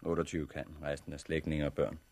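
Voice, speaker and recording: A man acting in a 1960s radio drama as a brisk, authoritative survivors' leader with a commanding, matter-of-fact manner. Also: a bass voice, flat delivery, darkish tone. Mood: brisk, businesslike. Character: brisk, authoritative male organizer of disaster survivors.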